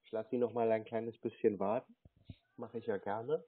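A man's voice: lowish voice, fairly even intonation, slightly rough. Man on a tinny phone line, stalling. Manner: stalling, deliberately slow-witted, silly spelling words. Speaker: scam-baiter playing a clueless victim on a phone call. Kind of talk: prank phone call.

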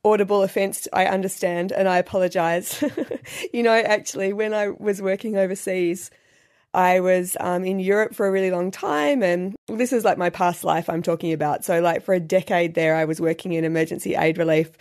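Australian woman podcast host, hoarse and croaky, a bit nasal, chatty with self-mocking humour. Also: lowish voice, steady tone, brisk, a little mellow. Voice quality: slightly nasal. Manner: chatty, self-deprecating, laughs often. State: husky, croaky from illness. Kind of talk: solo podcast monologue.

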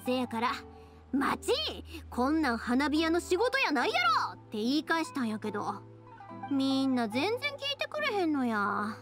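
A woman's voice: high-pitched, normal speed, faint music behind. A woman voicing a cheerful, kindhearted young fireworks maker in an anime game, chatty and familiar, with a Kansai accent. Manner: bubbly, familiar, expressive.

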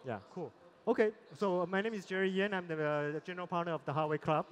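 A middle-aged man, an investor, moderating a fireside chat on a large conference stage in a relaxed way with many fillers, through a headset mic. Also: high-pitched, quick pace, slight background noise. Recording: long hall reverb, faint ambience.

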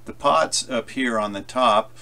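A man explaining software in a casual, halting tutorial style, thinking aloud as he demonstrates. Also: mid-range voice, vocal fry. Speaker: tutorial presenter. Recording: loud guitar effects music over voice. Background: loud electric guitar with delay effects.

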